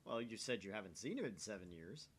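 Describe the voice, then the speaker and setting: A brash young male scientist from a visual novel, acted out by a man, pushy and theatrical. His pitch leaps around as he pries and teases, over faint noise. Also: big pitch swings, quick pace. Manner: dramatic, pushy, teasing.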